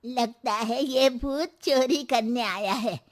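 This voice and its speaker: A feisty elderly mother-in-law acted by a woman in a cartoon family tale. The voice is high and unhurried, full of bossy banter and boasts. Faint noise sits behind it. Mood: defiant. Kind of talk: Hindi animated story dialogue.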